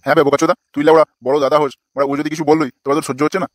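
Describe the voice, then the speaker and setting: A man's voice: fairly high pitch, little pitch movement, rapid-fire, dry recording, natural voice. A man voicing an exasperated, foul-mouthed older village father in a comic cartoon, scolding crudely.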